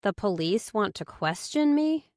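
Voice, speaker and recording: A woman's voice: mid-range voice, sing-song, a little slow, a little mellow, dry recording, studio quality. A woman voicing a wary, reluctant teenage girl in an audiobook, hesitant and questioning. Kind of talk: audiobook character dialogue.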